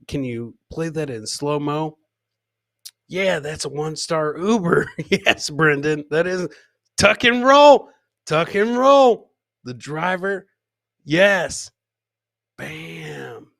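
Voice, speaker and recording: American man, forties, comedy stream host, sharp bright tone, casual, repetitive catchphrases, dry close studio mic. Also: on the high side, no echo. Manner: exclamatory, repeats catchphrases. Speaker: comedian hosting a live clip show. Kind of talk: casual live-stream commentary. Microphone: close boom studio mic with headset.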